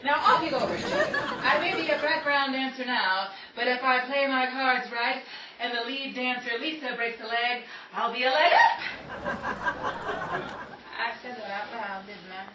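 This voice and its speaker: A woman's voice: high-pitched, animated, unhurried, crowd noise. A woman playing an exasperated, sarcastic aspiring Hollywood dancer of the 1930s in an old-time radio comedy style. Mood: wry, brisk. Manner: sarcastic, punchline-driven complaining. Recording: roomy, distant, dull, noisy amateur recording.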